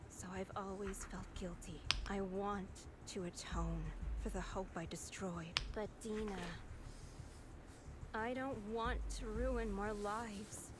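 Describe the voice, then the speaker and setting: Actress as a slow, solemn, gentle female guardian. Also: mid-range voice, heavy background noise, close to an everyday voice. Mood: solemn, pleading. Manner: solemn, measured, tender. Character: gentle, guilt-ridden, self-sacrificing older sister-like female caretaker. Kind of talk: dramatic video game voice acting. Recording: background music under the voice.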